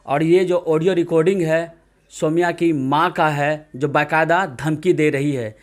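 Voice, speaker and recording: A man's voice: a little high, steady tone, a little slow. A man reporting from the field, speaking to viewers in an emphatic, dramatic way full of rhetorical questions. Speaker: news reporter. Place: at a family's home.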